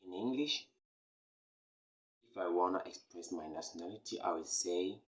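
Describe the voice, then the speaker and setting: A man from Cameroon hosting a beginner French lesson, repeating key phrases slowly and emphatically. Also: low-pitched, animated. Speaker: French teacher, channel host. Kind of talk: slow, repetitive beginner language lesson.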